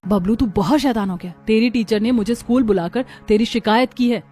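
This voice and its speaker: A woman's voice: fairly high pitch, fairly quick, mellow, close to an everyday voice. A woman voicing village women of a poor household in a cartoon story. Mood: scolding. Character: village women of a poor family, daughters-in-law and mother-in-law.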